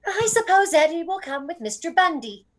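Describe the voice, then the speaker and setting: A genteel, naive mother acted by a woman in classic radio play style. The voice is very high and animated, chatty and fluttery, full of sweet innocence. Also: moderate pace. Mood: innocent chatty. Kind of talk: old-time radio drama acting.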